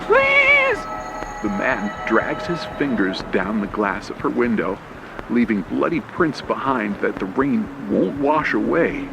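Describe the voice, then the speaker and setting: A man's voice: fairly low pitch, measured pace, vocal fry, over loud music, poor audio. A man narrating a horror story in the first person, tense and suspenseful, in an old radio play style. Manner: tense, suspenseful, short clipped phrases. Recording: loud rain, storm and engine effects. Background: rain, thunder and vehicle sound effects.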